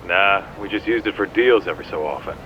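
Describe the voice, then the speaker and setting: An actor playing a gruff, easygoing ex-gangster in a video game, talking casually through a phone filter. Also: male voice, fast. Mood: offhand. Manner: casual, offhand. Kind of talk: video game drama acting. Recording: dull phone line, faint street rumble.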